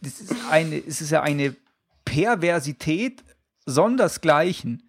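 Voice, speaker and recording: A man's voice: on the high side, animated, slow pace, dry recording. A young man co-hosting a casual football podcast, blunt and indignant, swearing freely as he complains. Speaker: podcast co-host.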